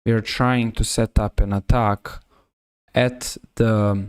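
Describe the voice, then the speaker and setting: A man with a Serbian accent giving live chess commentary on a stream, halting and often rephrasing himself. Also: fairly low pitch, level tone, clear, resonant tone, no echo, studio quality.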